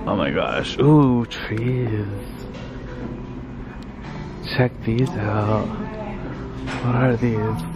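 A man's voice: fairly low pitch, drawn-out words, loud music behind the voice. A man hosting a casual vlog store tour, enthusiastically praising items as he walks through a large store. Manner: enthusiastic, gushing over items. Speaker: vlog host. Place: large indoor furniture outlet store.

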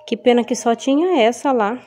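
Brazilian woman, hoarse from a cold. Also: on the high side, normal speed, mellow. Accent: Brazilian Portuguese. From Maranhão, Brazil. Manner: chatty, frequent tag questions to viewers. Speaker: vlogger. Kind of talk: casual haul vlog. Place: home kitchen, indoors. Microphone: phone camera held over table.

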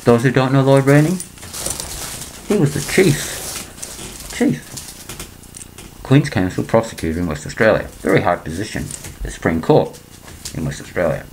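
An older man from England, speaking into a laptop webcam in a small room, rambling and clearing his throat between points. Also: mid-range voice, animated, moderate pace, long pauses, a little mellow, slight background noise, poor audio. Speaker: vlogger. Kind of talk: rambling conspiracy monologue to webcam.

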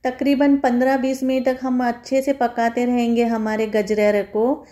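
A woman's voice: on the high side, little pitch movement, moderate pace, mellow. A woman home cook giving a step-by-step recipe voice-over, instructing viewers in a friendly, familiar form of address.

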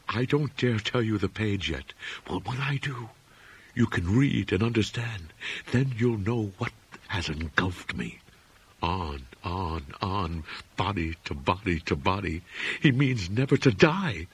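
A man's voice: breathy edge, full character voice. A man voicing a terrified, weak-willed man in a horror audiobook, raving in an agitated, urgent ramble with a thin voice. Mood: haunted, fearful. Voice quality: thin, rising toward shrill. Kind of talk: dramatic audiobook character voice.

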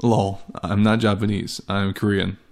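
A man's voice: on the low side, little pitch movement, unhurried. A man giving a comic dramatic reading of text messages, with sardonic, exasperated delivery. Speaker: host and voice actor. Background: background music.

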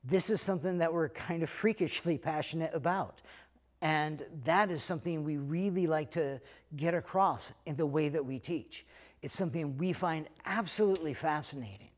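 Relaxed American man, slightly high voice. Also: normal speed. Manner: relaxed, conversational, many fillers. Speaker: head of an economics school. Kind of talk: recorded talk to prospective students. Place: lecture room, then plain backdrop indoors.

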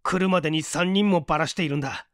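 A man's voice: a little high, quick pace, fairly bright tone, no room sound, clean studio sound, close to an everyday voice. A man voicing a desperate, curt armed fugitive who gives threatening orders in an audiobook story. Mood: menacing.

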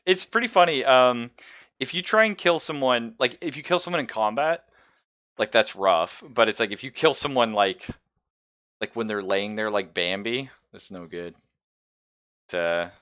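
A man's voice: on the low side, animated, normal speed, sharp tone, clear, resonant tone. A man casually narrating a tabletop roleplaying game as game master, in a chatty, conversational way.

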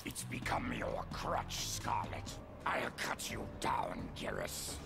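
Gravelly savage monster warrior. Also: male voice, a bass voice, huge pitch swings, takes it slowly, full character voice. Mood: hostile. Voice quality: gravelly, growling. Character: savage male monster warrior, snarling and menacing. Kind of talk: fighting game character dialogue. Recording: dramatic game music behind.

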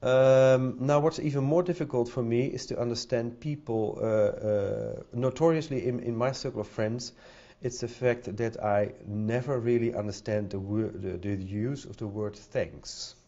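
A man's voice: mid-range voice, unhurried, few pauses, mellow. Hesitant Dutch-accented man at a podium. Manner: hesitant, many fillers and repeated words. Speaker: conference speaker. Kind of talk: conference talk. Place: conference hall with audience. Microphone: podium microphone. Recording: dull lo-fi sound.

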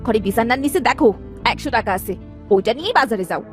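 A woman playing a nagging, sharp-tongued poor village wife and mother in a cartoon story, scolding and sarcastic. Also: a little high, expressive, a little fast, soft background music, close to an everyday voice. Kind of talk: dramatic cartoon story dub.

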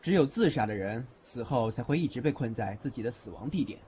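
男演员饰 语调起伏、不耐烦的年轻男大学生. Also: male voice, medium pitch, lively intonation, fairly quick, mellow, a little hiss or hum, lightly acted. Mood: cool, blunt. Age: young adult. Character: grumbling, aloof young male university student who sees ghosts. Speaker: lead actor in a Chinese audio drama. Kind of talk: audio drama acting with inner monologue. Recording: slightly dull recording with music behind.

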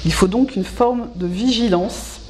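Middle-aged woman, mellow voice. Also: medium pitch, unhurried, slight background noise, poor audio. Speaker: local government specialist being interviewed. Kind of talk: interview answer, explanatory. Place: small indoor office.

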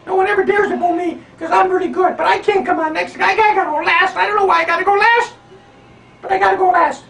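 Squeaky boastful monkey puppet. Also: male voice, steady tone, fast talker, vocal fry, a bit bright, far from the mic, exaggerated voice. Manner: bossy, boastful, sings silly songs. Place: living room home puppet show. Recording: roomy home video from afar.

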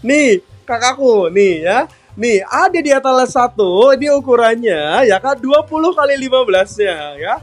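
A man's voice: extremely high pitch, animated, moderate pace. A man hosting a live-selling stream, chatting upbeat and reading viewer comments aloud in a bakery kitchen. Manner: chatty and upbeat, constantly addressing viewers warmly. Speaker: live-stream sales host.

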